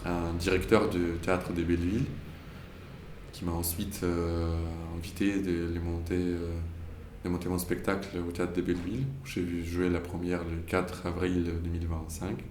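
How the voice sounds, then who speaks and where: A man's voice: deep voice, level tone, moderate pace, a little mellow, roomy sound, slight background noise. A young man from Ukraine, an actor giving hesitant, reflective interview answers and often searching for words. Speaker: actor interviewed about his play.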